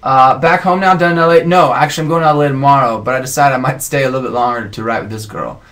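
A man's voice: medium pitch, quick pace, a bit bright. Young man chatting on a webcam stream. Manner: chatty, laughing, reads viewer comments aloud. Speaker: co-host of a live stream. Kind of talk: casual live stream chat. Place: home music studio, indoors. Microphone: webcam mic.

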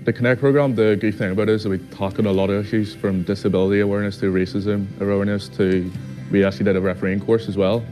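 A man's voice: on the low side, flat delivery, fast. A seventeen-year-old young man giving a personal testimonial for a video. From Nigeria, raised in Northern Ireland. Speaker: programme participant. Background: guitar music.

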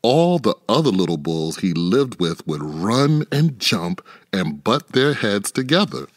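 Male storyteller for children's picture book, slow and lively in pitch, dramatic emphasis, close dry sound. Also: dry recording. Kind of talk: expressive children's read-aloud narration.

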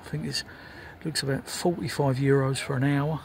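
A man's voice: medium pitch, flat delivery, normal speed, a little mellow. A man giving casual, unscripted travel commentary as a vlogger, recorded on location while sightseeing. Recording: noisy handheld recording with street ambience.

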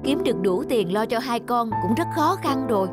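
A woman playing a practical, hard-working young mother in a cartoon, gently firm as she explains things. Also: fairly high pitch, animated, moderate pace, loud music behind the voice, lightly acted. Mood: firm, reproving. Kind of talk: cartoon voice acting.

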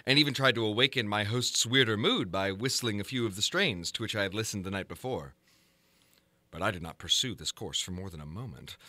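A man narrating a gothic horror story in a formal, measured, theatrical manner, as in a dramatic audiobook. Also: on the low side, bright, forceful tone, no echo.

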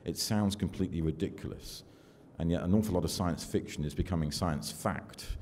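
Deep-voiced older man giving a keynote. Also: a little mellow. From United Kingdom. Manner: measured, self-deprecating presenter with fillers. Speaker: keynote speaker. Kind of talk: conference keynote talk. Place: large conference hall with audience. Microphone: podium microphone. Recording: long hall reverb, faint room noise.

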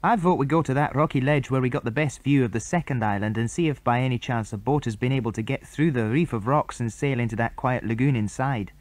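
A man's voice: medium pitch, quick pace, few pauses, no room sound, natural voice. A man reading aloud and voicing a brave, thoughtful young boy who leads the others, in an eager, earnest way.

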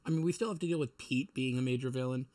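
A man's voice: medium pitch, fast, darkish tone. A man giving casual video game playthrough commentary with wry, joking asides. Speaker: gaming let's player. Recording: game music behind the voice.

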